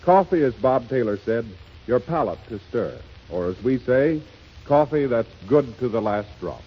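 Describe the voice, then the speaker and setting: A man announcing a 1930s radio show, reading ad copy and scene-setting in a formal, smooth style. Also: mid-range voice, lively intonation, moderate pace, a little hiss or hum. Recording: muffled 1930s radio broadcast with music.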